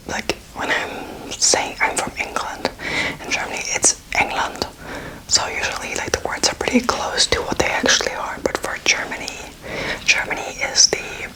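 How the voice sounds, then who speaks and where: A woman in her twenties giving an ASMR language lesson in a whisper, casual, playful and encouraging as she teaches. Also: rough recording. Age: 20s. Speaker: host teaching beginner German.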